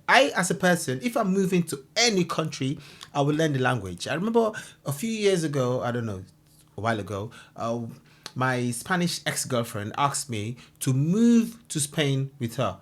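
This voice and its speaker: A man's voice: mid-range voice, sing-song, moderate pace. A man reacting to a street interview clip, giving his opinion emphatically with many fillers. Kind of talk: reaction commentary.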